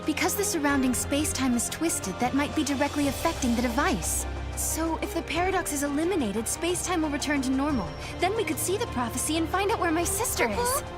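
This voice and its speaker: An actress playing an earnest, hopeful young heroine in a video game, with a gentle manner. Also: female voice, high voice, expressive, brisk. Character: earnest, hopeful young woman searching for her sister. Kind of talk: video game English dub acting. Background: music.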